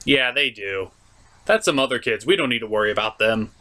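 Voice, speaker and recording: A man's voice: mid-range voice, animated, brisk, bright, forceful tone, a little hiss or hum. A man giving a comedic, scripted movie recap in a theatrical, sarcastic way. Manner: theatrical, sarcastic delivery with mild swearing. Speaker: host of a puppet movie review show.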